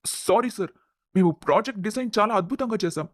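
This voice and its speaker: A man's voice: high-pitched, animated, fast, no room sound. A man playing a stern young company boss in a dramatised family story. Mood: apologetic. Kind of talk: dramatised audio story acting.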